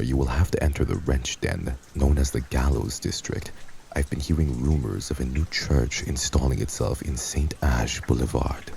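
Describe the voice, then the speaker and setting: A man's voice: a bass voice, creaky, a little mellow, slight background noise, poor audio. A man playing a heartless vampire lord and crime boss in a fantasy audio roleplay, speaking in a pompous, archaic, menacing way. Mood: grave, instructive.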